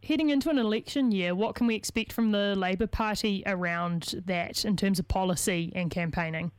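Husky-voiced New woman interviewer. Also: medium pitch, brisk, dry recording. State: losing her voice. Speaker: radio interviewer. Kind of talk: radio interview questions.

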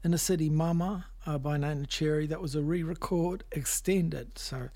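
A man presents a music show on radio. His voice is mellow and slightly high, and he talks in an unscripted, hesitant, low-key way, feeling his way through each link. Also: fairly even intonation, moderate pace. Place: radio studio.

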